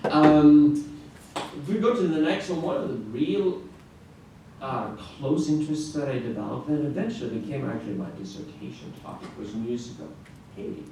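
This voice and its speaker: A middle-aged man from Norway giving a conference talk as a classical guitarist, telling stories in an animated way with frequent restarts and "right?" asides. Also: mid-range voice, normal speed. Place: large echoey conference room. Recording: echoey room, distant mic, faint noise.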